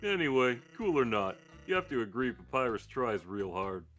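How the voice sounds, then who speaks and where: A man voicing a laid-back, joking skeleton from a video game, with a casual, wry deadpan manner. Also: medium pitch, moderate pace. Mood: easygoing. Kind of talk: live character voicing of video game dialogue. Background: game music.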